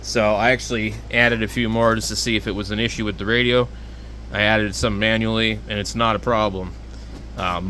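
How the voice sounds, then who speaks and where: A man giving casual, rambling, unscripted product talk as a video host, with a hesitant, halting delivery. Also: on the low side, fairly bright tone, a little hiss or hum. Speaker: hobbyist video host reviewing handheld radios.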